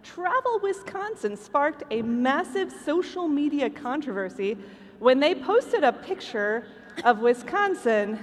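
A woman's voice: high voice, sing-song, a little slow. An American woman from Michigan presenting scripted comic trivia to a live audience in a playful, emphatic way. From Michigan, United States. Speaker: podcast host presenting trivia. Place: convention stage before a live audience. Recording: reverberant hall stage recording, background noise.

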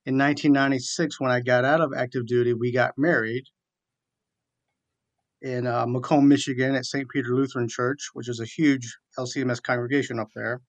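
A man's voice: mid-range voice, level tone, brisk, no room sound. A middle-aged American man, an interview guest, telling his personal story conversationally and in methodical detail. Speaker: interview guest, military chaplain.

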